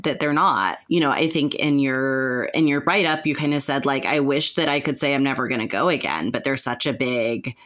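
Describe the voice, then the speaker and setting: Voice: mid-range voice, a bit bright. A podcast host talking in a casual conversational discussion, with informal, tentative phrasing.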